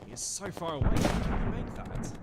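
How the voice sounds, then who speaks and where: A nervous British reporter, acted by a man out of his depth in war, flustered in radio-play style. His pitch is high and jumps around a great deal, with clear noise and gunfire behind. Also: sing-song, a little fast. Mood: anxious excitement. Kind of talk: radio drama acting. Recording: noisy track with battle gunfire.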